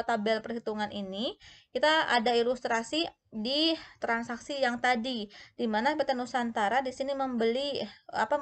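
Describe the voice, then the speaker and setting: A woman giving an explanatory tutorial as an instructor, going step by step and posing questions that she then answers herself. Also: on the high side. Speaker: accounting instructor.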